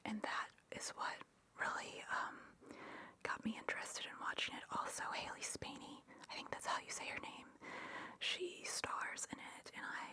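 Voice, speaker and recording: A woman whispering in a chatty, opinionated ASMR ramble, breathy and geekily enthusiastic. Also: bright, forceful tone. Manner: whispers throughout, breathy and unvoiced. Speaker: ASMR creator and host.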